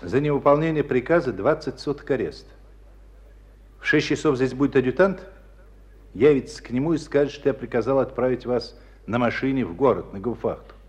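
An actor playing a stern, exacting commander of a military school in a radio drama, curt and authoritative. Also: male voice, medium pitch, expressive, a little fast, close to an everyday voice. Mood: stern, commanding. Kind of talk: Russian radio drama acting.

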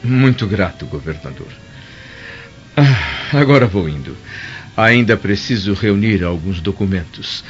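Dignified, low-voiced Roman senator. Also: male voice, expressive, a little slow. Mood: polite. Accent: Brazilian Portuguese. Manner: formal, stately. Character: dignified, courteous Roman senator, mature man. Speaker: actor in a radio drama. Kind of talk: radio soap opera acting. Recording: dull lo-fi radio drama, music behind.

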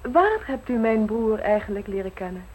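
A woman's voice: medium pitch, very animated, normal speed, a little mellow, a little hiss or hum, close to an everyday voice, rough recording. An actress playing a shrewd aristocratic lady hosting tea in a radio play, arch, gossipy and probing.

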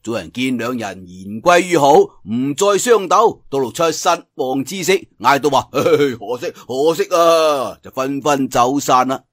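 A man's voice: medium pitch, expressive, a bit bright, no room sound, clean studio sound. A man narrating a martial arts tale in theatrical, colloquial Cantonese storytelling style. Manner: theatrical, colloquial telling with drawn-out sentence particles. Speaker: audiobook storyteller.

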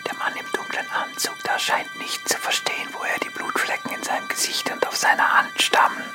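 A man's voice: extremely high pitch, monotone, fairly quick, whispered. A man performing as the narrator of a scripted spoken-word piece, describing action like a screenplay. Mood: narrating. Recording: music under the voice. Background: music.

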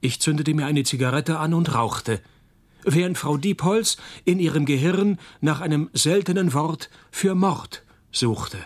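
An actor playing a wry, world-weary private detective in a radio crime comedy, deadpan and sardonic. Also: male voice, fairly high pitch, unhurried. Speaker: detective and narrator. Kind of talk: radio crime comedy, first-person noir narration.

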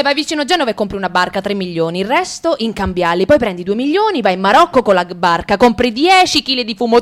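A woman's voice: high voice, fairly bright tone. A woman performing a radio theatre reading. She races and escalates in her monologue and narrates steadily in the story passages. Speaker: stage reader.

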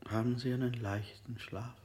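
An actor playing a calm male interrogator in a 1960s radio play. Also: mid-range voice, normal speed, natural voice. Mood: probing. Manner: calm, probing questions. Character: cold male interrogator, middle-aged.

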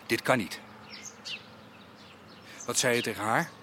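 An actor playing an earnest, by-the-book police officer in a radio drama, correct and formal in manner. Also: male voice, mid-range voice, measured pace, bright, forceful tone, a little hiss or hum. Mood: stern.

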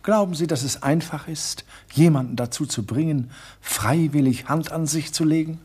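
A husky, suave villain collector. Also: male voice, a little high, normal speed, darkish tone. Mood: menacing. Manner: smooth, coolly menacing, mocking. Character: suave murderous male art collector, villain. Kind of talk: radio drama acting.

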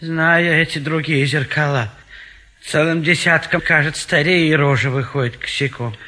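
A man's voice: a little high, bright, forceful tone. An actor playing a fussy, indecisive, sluggish bachelor in a classic stage comedy, plaintive and hesitant in his delivery. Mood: rattled, peevish. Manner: slow, plaintive, hesitant grumbling. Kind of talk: classic stage comedy audio play acting.

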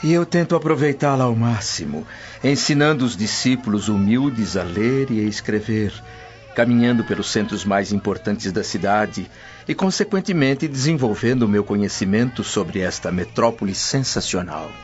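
Male actor as a learned Roman freedman, lowish voice, formal, earnest and courteous; radio drama, slightly dull sound. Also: expressive, moderate pace, natural voice. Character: cultured, courteous freed slave teacher in ancient Rome. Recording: slightly dull audio with music.

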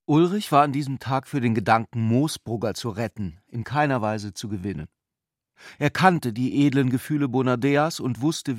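A man reading literary narration for a radio play in a measured, deliberate and sober manner. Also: medium pitch, no room sound, studio quality.

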